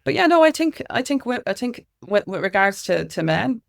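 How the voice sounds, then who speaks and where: A woman's voice: fairly high pitch, sing-song, moderate pace, vocal fry. Outspoken Irish woman in an interview. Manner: earnest, outspoken. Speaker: interview guest, activist and music consultant. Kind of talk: conversational interview answers.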